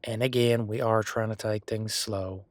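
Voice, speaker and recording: A man's voice: fairly low pitch, steady tone, measured pace, no room sound, close to an everyday voice. A man playing an easygoing, sincere werewolf boyfriend in a roleplay audio drama, talking casually and a little bashfully. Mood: tender, earnest.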